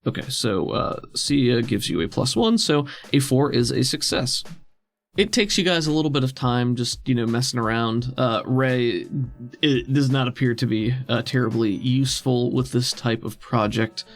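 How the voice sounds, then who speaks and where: Gravelly man running a tabletop game. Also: medium pitch, normal speed. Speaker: game master. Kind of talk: narrating a tabletop role-playing game. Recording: music under the voice. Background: background music.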